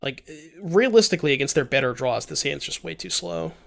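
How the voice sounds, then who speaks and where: A man's voice: on the high side, lively intonation, brisk, vocal fry. A young man with a stuffed-up, worn voice, chatting casually as he streams a card game and talks to his chat. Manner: chatty, thinks aloud, reads chat, many restarts. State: stuffed up, voice a little shot. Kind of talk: casual gameplay commentary.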